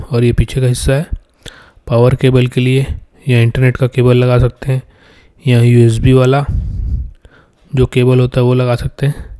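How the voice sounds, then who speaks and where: A man presents a product unboxing in a casual, plain way. His voice is soft-edged and mellow, with very little rise and fall in pitch. He explains each part step by step at a middling pitch.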